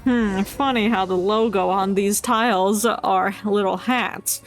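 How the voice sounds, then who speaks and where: A woman's voice: mid-range voice, steady tone, takes it slowly. A woman streaming a game with casual, joking live commentary and playful reactions. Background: faint game music.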